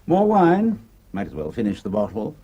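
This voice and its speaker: A suave, condescending schemer. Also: male voice, lowish voice, huge pitch swings, normal speed, mellow, muffled recording, heavily acted. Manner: smooth, condescending, calls others dear boy. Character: suave smooth-talking male schemer, Basil. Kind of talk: 1970s radio drama acting. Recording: old radio broadcast with faint hiss.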